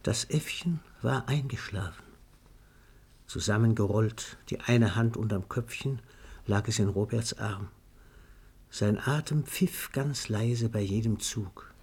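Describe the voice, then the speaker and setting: Ein Mann erzählt in einem Hörspiel eine literarische Geschichte, ausdrucksvoll, genau und mit leiser Ironie. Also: male voice, fairly low pitch, takes it slowly, darkish tone, slight background noise. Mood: quiet, tender. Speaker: narrator. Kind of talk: scripted literary narration for a radio play.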